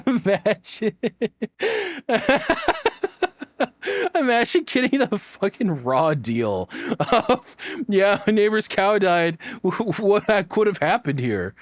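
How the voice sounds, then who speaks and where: A man's voice: high-pitched, wildly swooping pitch, drawn-out words, dry recording, studio quality. A man giving casual, joking reaction commentary, rambling along and swearing freely. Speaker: reactor, streamer.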